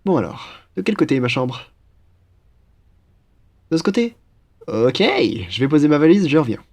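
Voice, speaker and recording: A man's voice: a little high, big pitch swings, normal speed, darkish tone. A young man playing an upbeat, cheeky student roommate in a French roleplay, with a playful, slangy, enthusiastic manner. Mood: casual, friendly. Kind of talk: casual French roleplay acting.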